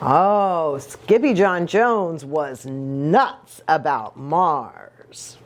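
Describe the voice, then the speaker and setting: A woman's voice: deep voice, animated, very slow. A woman reading a picture book aloud to children in a playful, exaggerated, sing-song way. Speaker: children's ministry pastor reading a storybook.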